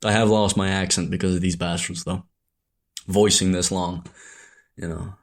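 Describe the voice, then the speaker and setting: A man's voice: low voice, flat delivery, normal speed. A young adult man from Ireland, a show creator, talking through a rambling, hesitant reflective monologue full of restarts and self-corrections.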